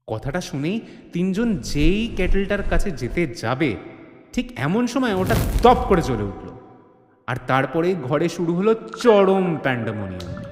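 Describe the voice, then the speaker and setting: A man's voice: fairly high pitch, big pitch swings, unhurried. A man narrating a comedy-mystery story in a theatrical, suspenseful way, with touches of mock alarm. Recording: long hall reverb, music bed.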